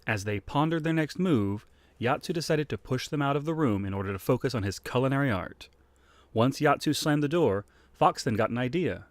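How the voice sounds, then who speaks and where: A man's voice: mid-range voice, lively intonation, fairly quick, dry recording, studio quality. A man co-narrating a fan audio drama in a playful, casual way.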